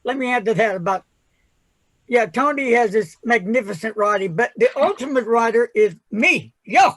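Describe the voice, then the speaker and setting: High, bright-voiced joking man. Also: expressive, normal speed. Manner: playful, joking boastfully, exclaims. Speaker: pen pal group member. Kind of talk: casual video call chat.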